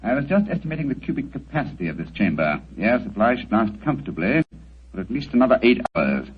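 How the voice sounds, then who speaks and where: An actor playing a brilliant, calm detective with dry wit in 1940s radio acting, speaking in a crisp, precise manner over a dull old radio broadcast. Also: male voice, mid-range voice, quick pace, slight background noise, lightly acted.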